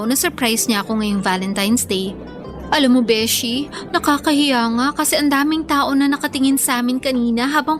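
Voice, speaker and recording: A young Filipino woman, acted by a voice actress in a radio drama, sweet and a little bashful. Her voice is high, and soft music plays behind the dialogue. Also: close to an everyday voice.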